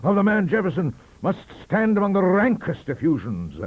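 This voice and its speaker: A man's voice: high-pitched, huge pitch swings, moderate pace, no room sound, narrow, tinny audio, voice pushed up, heavily acted. A man voicing a pompous Tory professor who roars grandiloquent eighteenth-century insults in a storybook reading. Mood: pompous outrage. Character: roaring pompous Tory professor, orating like Samuel Johnson.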